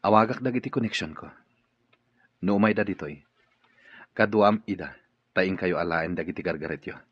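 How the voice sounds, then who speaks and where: An actor playing a kindly, protective master of a mansion in a radio drama, measured and courteous. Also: male voice, low voice, husky, dry recording. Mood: protective, resolute. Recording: dull, lo-fi audio.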